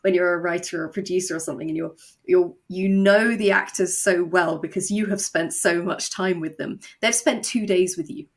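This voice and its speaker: A woman's voice: fairly low pitch, steady tone, fairly quick. A woman chatting casually and animatedly as an interview guest and writer. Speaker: audio drama writer and co-creator, interview guest.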